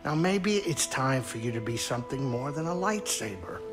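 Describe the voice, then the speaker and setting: An actor playing a caring older male alien pilot with a gruff, folksy, streetwise way of talking, in a video game cutscene. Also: medium pitch, expressive, normal speed, music in the background, natural voice. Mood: pleading concern.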